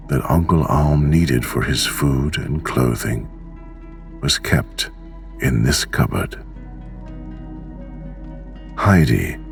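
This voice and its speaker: A man reading a bedtime story as narrator, slow, soothing and expressive, with soft music underneath. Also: very deep voice, gravelly.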